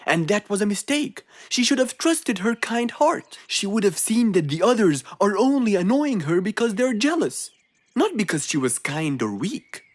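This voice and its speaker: A woman's voice: medium pitch, very animated, moderate pace. A woman voicing a patient, wise cartoon fox who tells stories and explains lessons to children. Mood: gently instructive. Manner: patient, instructive.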